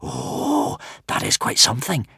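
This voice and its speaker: A narrator plays a gossipy Viking villager in a storybook. The voice is lifted and very lively, musing and easily impressed. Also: mid-range voice, normal speed, no echo, studio quality, pitched above the performer's own voice.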